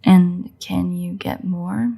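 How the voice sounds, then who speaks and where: Woman acting a creaky, teasing female vampire. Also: lowish voice, steady tone, slow pace, warm tone, full character voice. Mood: blunt, tired. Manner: teasing, wry, drawling, sighing. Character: playful teasing female vampire, cocky and foul-mouthed. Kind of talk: intimate audio roleplay acting.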